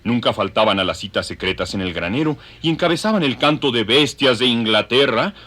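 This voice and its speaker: A man's voice: mid-range voice, expressive, brisk, bright, forceful tone. A man narrating an audio drama in a scripted, dramatic storytelling style.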